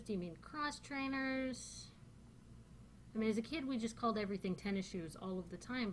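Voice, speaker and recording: A woman's voice: mid-range voice, slight background noise, rough recording. A woman with a central Northern Californian accent chatting casually through a questionnaire, rambling with many fillers. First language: English. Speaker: vlogger. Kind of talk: casual chat answering a dialect questionnaire.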